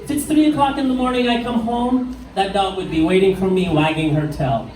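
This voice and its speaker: A middle-aged man from Iraq with an Iraqi accent doing a stand-up set in a pub, delivering deadpan one-liners with slow punchlines. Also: sits high. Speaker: stand-up comedian. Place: pub stage with live crowd. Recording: echoey room, mic heard from far off.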